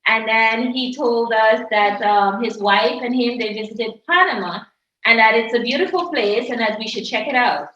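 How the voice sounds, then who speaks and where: A woman's voice: fairly high pitch, normal speed, a bit bright, slight background noise. A woman sharing her ministry testimony at a table, reflective and offering advice. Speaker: ministry speaker. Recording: distant echoey muffled video call.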